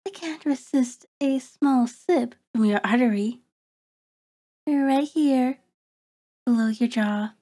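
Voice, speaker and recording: Woman voicing a slow, high-voiced cruel vampiress. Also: mellow. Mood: savoring, hungry. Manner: taunting, haughty, savoring, formal diction. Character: cruel, predatory female vampire toying with her captive. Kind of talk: ASMR horror roleplay monologue.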